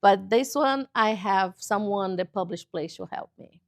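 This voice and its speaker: A woman in her forties from Brazil, whose first language is Portuguese, speaking English with a Brazilian accent in a TV interview and pausing often to find words. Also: medium pitch, no room sound, clean studio sound. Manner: halting, searching for words, cheerful. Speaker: guest author. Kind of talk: casual interview chat. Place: local TV studio.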